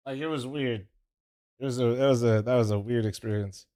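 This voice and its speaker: A young man talks to his stream chat with a profane, teasing ramble, and his voice sits at an ordinary pitch. He's casual and loose, stopping and restarting as he fiddles with his setup. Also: fairly even intonation, brisk, mellow. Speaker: streamer co-streaming an esports match.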